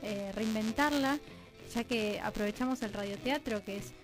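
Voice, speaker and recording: A woman's voice: medium pitch, normal speed, music in the background, low-quality recording. A woman with an Argentine accent talking discursively and reflectively as a guest in a conversational radio interview. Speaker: radio drama producer and guest.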